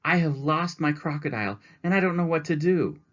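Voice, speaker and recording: Man putting on a high fretful lady voice, anxious and pleading, bit muffled. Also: expressive, normal speed. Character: worried kindly older lady searching.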